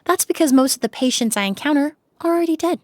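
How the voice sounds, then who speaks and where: Casting: composed forensic cleaner for a game, slightly high voice, measured and analytical. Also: female voice, lively intonation. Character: calm, poised female forensic cleaner and perfumer. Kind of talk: video game voice acting.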